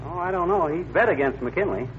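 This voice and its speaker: High-pitched, blustering radio husband. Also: male voice, animated, brisk, very noisy. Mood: grumbling. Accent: American. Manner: folksy, blustering, wisecracking. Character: grumbling, embarrassed middle-aged husband, folksy blusterer. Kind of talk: 1940s radio comedy acting. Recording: muffled old radio recording.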